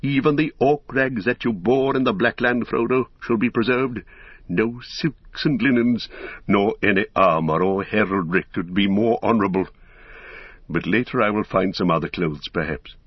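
A man voicing a wise, kindly old wizard in a storybook, speaking in a measured, formal, gently ceremonious way. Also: lowish voice, husky, no room sound, highs cut off.

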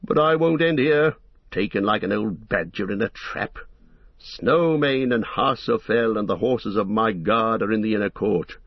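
A proud old king weighed down by doubt, his husky voice rising a little above the reader's own as he speaks with grave, stately solemnity, as a man puts him on. The storybook reading is dry and a little muffled. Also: fairly low pitch, measured pace, dry recording. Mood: resolute. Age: older. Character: aging troubled male king, proud, grave. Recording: dull lo-fi recording.

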